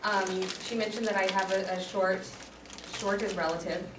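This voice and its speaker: A woman broadcaster with a loud voice, giving a chatty, self-deprecating talk to an audience in a community meeting room. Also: medium pitch, fairly even intonation, moderate pace, recorded from a distance. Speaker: guest speaker, broadcaster. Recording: echoey room audio recorded from afar, with noise.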